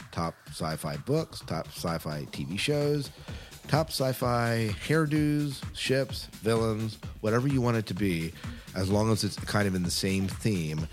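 Very deep-voiced male podcast host over music. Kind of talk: casual chatty podcast hosting. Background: loud music bed.

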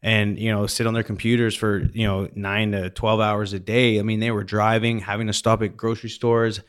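A man's voice: lowish voice, little pitch movement, brisk, clean tone. A man from British Columbia, Canada, hosting a podcast in a casual, conversational interview style. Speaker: podcast host.

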